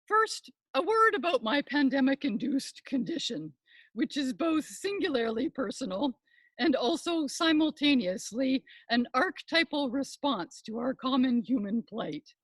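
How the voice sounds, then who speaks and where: An older woman performing a scripted comic monologue as a pompous professor and podcast host, with florid, deliberate academic delivery. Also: sits high, expressive, slightly rough, dry recording, poor audio.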